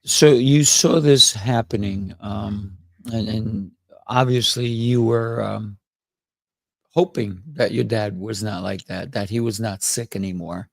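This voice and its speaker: Gravelly-voiced male podcast host. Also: lowish voice, steady tone, takes it slowly, no room sound. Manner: encouraging, frequent "mm" backchannels. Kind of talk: interview hosting.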